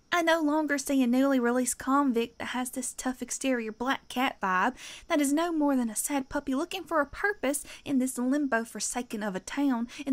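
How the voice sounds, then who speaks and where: A woman's voice: high voice, natural voice. A woman playing a guarded, caring small-town teacher in an audio drama, speaking earnestly and a little hesitantly. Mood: pleading.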